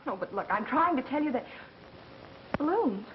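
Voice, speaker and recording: A woman's voice: sits high, expressive, moderate pace. An actress playing a plucky, wisecracking young American woman sleuthing as a sidekick, in 1930s film style. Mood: flustered. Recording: muffled, noisy vintage film soundtrack.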